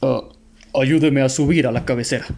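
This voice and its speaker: A man's voice: mid-range voice, fairly even intonation, normal speed, creaky, darkish tone. A man playing a stammering, hesitant helper. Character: hesitant male helper checking the window. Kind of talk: radio drama acting.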